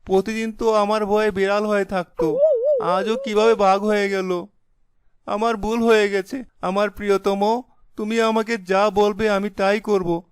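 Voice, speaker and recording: A man voicing a young village husband, blustering but easily cowed, with an exclaiming, melodramatic delivery in an animated folk tale. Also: high-pitched, unhurried, clean tone, no echo. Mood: panicked, pleading. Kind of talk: animated fairy-tale voice acting.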